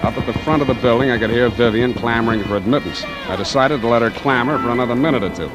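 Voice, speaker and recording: An American actor playing a cynical hardboiled private detective in 1940s radio noir, dry, sardonic and terse. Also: male voice, fast, natural voice. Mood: wry narration. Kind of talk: 1940s radio noir acting and first-person narration. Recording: old radio recording with music and rumbling noise.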